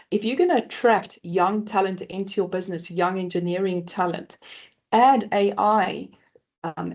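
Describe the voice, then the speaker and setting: A South African woman in her fifties speaking on a conference panel, halting with many fillers and restarts, often calling the audience guys. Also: fairly low pitch, a little mellow.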